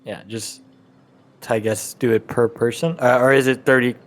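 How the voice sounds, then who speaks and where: A man speaking haltingly and casually as a player in an improvised tabletop roleplay. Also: mid-range voice, steady tone, a little hiss or hum. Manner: hesitant, halting.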